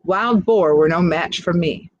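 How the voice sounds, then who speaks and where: A woman's voice: on the low side, measured pace, a little mellow, no echo, lo-fi sound, voice pushed down, natural voice. Woman reading as gabby, nostalgic old green snake. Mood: boastful, nostalgic. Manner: rambling, gabby reminiscing. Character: aging green snakes, nostalgic, boastful, cozy old friends.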